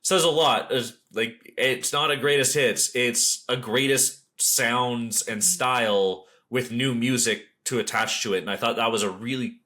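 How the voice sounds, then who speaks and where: A man's voice: medium pitch, steady tone, unhurried, hardly any pauses, bright, forceful tone. A man hosting a podcast, giving a casual, conversational album review. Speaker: podcast host.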